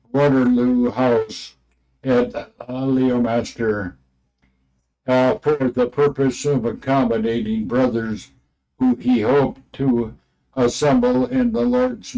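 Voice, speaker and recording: A man with a medium-pitched voice reads aloud from an old religious memoir. His delivery is very unhurried and halting, and he stumbles over words and names as he goes. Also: creaky, darkish tone, rough recording.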